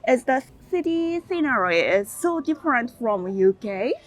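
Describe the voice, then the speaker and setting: A woman's voice: a little high, sing-song, moderate pace. A woman whose first language is Japanese, interviewing on the street with short questions and many "mm-hmm"s. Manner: short questions, frequent "mm-hmm" backchannels, simple phrasing. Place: outdoors on a city street.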